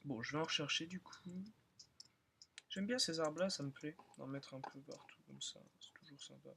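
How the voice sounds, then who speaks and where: A young man who is still in school, slightly hoarse, rambling and thinking aloud with many fillers as he comments on his own gameplay. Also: on the high side, moderate pace, background noise. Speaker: gaming channel host. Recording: loud keyboard and mouse clicking.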